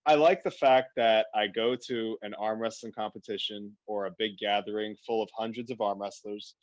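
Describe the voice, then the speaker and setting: A man from Afghanistan talking bluntly and animatedly in a casual podcast conversation, quick to laugh. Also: fairly low pitch, steady tone, no echo, lo-fi sound. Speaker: podcast guest.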